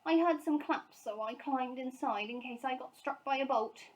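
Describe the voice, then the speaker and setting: A woman's voice: high voice, normal speed, voice pushed up, lightly acted. A woman voicing a polite, earnest and hopeful young bear in a storybook reading. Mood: earnest explaining.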